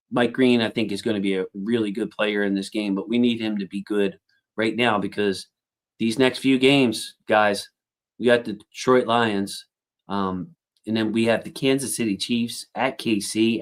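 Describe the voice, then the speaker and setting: A man hosting a casual football livestream, reading out viewer comments and thinking aloud with lots of fillers, and his voice has a slightly squeaky edge. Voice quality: self-described squeaky voice. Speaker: football channel host reading live chat.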